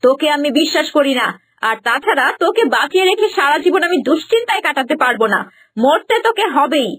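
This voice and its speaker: A woman's voice: high voice, big pitch swings, fast talker, fairly bright tone, slight background noise. A woman voicing a cruel, scheming middle-aged stepmother in a cartoon, venomous and gloating as she talks to herself. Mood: vicious. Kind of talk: cartoon voice acting. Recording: music bed underneath.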